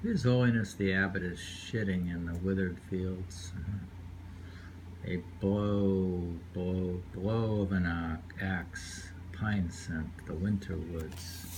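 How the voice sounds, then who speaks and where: A man's voice: low-pitched, steady tone, slow pace, a little mellow, a little hiss or hum, rough recording. A man reading short poems aloud and musing on them casually, often repeating phrases. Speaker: poetry reader.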